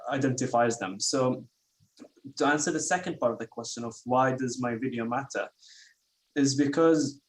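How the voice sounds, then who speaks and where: A man from Iraq speaking earnestly on an online webinar panel, halting and restarting as he thinks. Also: mid-range voice, rough recording.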